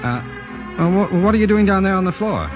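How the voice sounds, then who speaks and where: A flustered suburban husband in a vintage radio play. His voice is fairly high and lively, and he stammers when cornered. Dull broadcast sound and hiss sit beneath every line. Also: fairly quick, big-room sound, music in the background. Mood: sheepish. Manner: flustered and stammering, then sheepish. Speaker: radio actor. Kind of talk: 1940s radio acting. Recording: hissy old radio broadcast.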